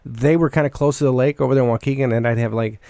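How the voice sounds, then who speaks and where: A man chatting as a podcast host, quick and gravelly, recorded dry and close. He rambles, cuts himself off and swears casually as he goes. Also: medium pitch, fairly even intonation, dark tone, dry recording. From United States. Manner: rambling, self-interrupting, casually profane.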